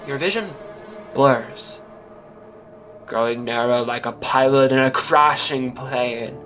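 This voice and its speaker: A man's voice: medium pitch, takes it slowly, fairly bright tone. Awkward, earnest male narrator over music. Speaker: narrator and performer. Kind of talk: first-person audio drama monologue.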